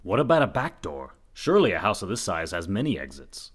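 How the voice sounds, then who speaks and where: Man acting a low, animated dim hero detective. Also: fairly quick, husky, exaggerated voice. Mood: urgent. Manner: dramatic, earnest, overconfident. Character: earnest, dim, self-assured young male reporter detective. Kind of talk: comedic old-time radio mystery acting.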